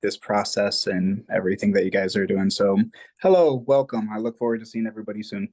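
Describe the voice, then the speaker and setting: A man's voice: fairly low pitch, steady tone, fairly quick, a little mellow, rough recording. A man, a program manager, casually saying hello on a video call.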